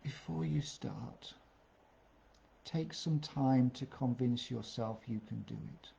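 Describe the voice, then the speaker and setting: A man's voice: medium pitch, slow pace, creaky, dark tone, lo-fi sound. A man giving career advice in a webinar, plain-spoken and full of "uh" fillers. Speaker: career coach.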